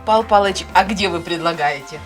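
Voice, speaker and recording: A woman's voice: lowish voice, moderate pace, lightly acted. A woman playing a courteous, inquisitive interviewer in an educational cartoon, speaking in a formal, scripted way. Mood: polite, curious. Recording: music behind the voice.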